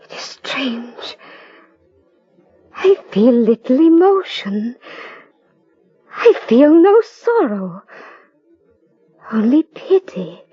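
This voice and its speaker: An actress playing a gentle, devout married woman in melodramatic old radio drama, with a breathy, halting, emotional delivery. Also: high voice, big pitch swings, dry recording, exaggerated voice. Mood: fervent. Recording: muffled vintage radio recording, music behind.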